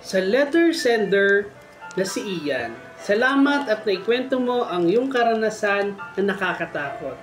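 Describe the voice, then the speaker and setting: A man's voice: high voice, big pitch swings, a little slow, echoey room. A man hosting a story show, reading listeners' horror tales aloud. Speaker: storytelling host. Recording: music bed behind the voice. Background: faint music.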